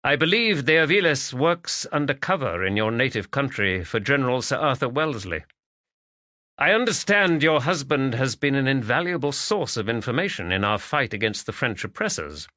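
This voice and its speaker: A man playing a courteous gallant gentleman. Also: mid-range voice, big pitch swings, no room sound, clean studio sound, voice pushed up. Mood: probing. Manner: firm, measured, courteous. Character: composed gallant English gentleman detective. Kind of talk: audiobook character voice.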